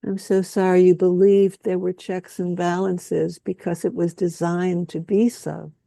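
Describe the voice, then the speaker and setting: A woman with a low, gravelly voice speaks a slow, solemn lament. She leans on repeated phrases and leaves long pauses between lines. The sound is mellow and completely dry. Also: flat delivery, no room sound. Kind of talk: slow spoken-word lament.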